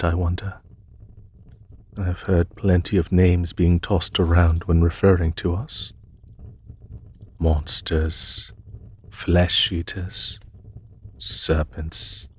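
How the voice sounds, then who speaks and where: A man playing a courteous, war-weary demon emperor in an intimate audio roleplay, speaking formally and gently with a husky voice. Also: deep voice, exaggerated voice. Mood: wounded, pensive. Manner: formal, measured, gently spoken.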